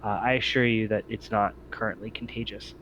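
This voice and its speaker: Man playing a calm, polite android, slightly muffled. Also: lowish voice, a little hiss or hum, close to an everyday voice. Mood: calm, measured. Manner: measured, courteous, formal. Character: calm, polite, well-groomed male android ship steward.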